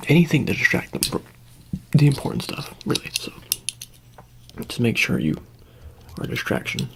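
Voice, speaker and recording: A soft-spoken ASMR roleplayer, sometimes whispering, playing an offhand handler in a hesitant, rambling, casually reassuring way. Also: mid-range voice, huge pitch swings, moderate pace, gravelly, background noise. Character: offhand handler preparing an alien for public exposure.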